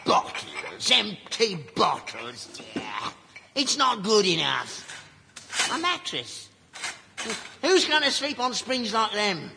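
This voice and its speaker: High, querulous old Cockney father. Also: huge pitch swings, takes it slowly, creaky, long reverb tail, background noise, full character voice. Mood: complaining, scolding. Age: older. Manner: querulous, nagging, complaining with rhetorical questions. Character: grumbling, nagging elderly Cockney rag-and-bone man father. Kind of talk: radio sitcom acting.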